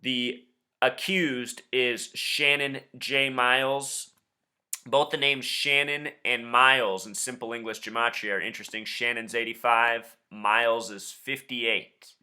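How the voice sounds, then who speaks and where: A man's voice: mid-range voice, fairly even intonation, a little slow, pushed and bright, clean tone. A man with an insistent, suspicious manner, speaking as an online host and caller in conspiracy commentary. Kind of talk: conspiracy commentary and confrontational phone questioning.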